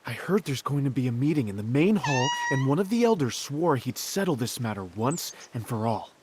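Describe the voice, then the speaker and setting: A man's voice: medium pitch, very animated, brisk, slight background noise, close to an everyday voice. A man playing a helpful, modest craftsman friend in a video game, earnest and a little hesitant as he explains things. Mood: eager, explaining. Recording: loud background music.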